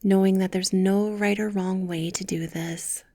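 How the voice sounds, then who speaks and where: A woman leading a guided meditation with soft, gentle, soothing instructions, breathy and often close to a whisper. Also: medium pitch, fairly even intonation, a little slow, dry recording.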